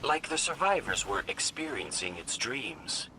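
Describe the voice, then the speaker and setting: A man voicing a small, scholarly robotic companion in a video game, gentle, precise and earnest in his belief. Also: medium pitch, sing-song, measured pace, noisy background. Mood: scholarly, musing.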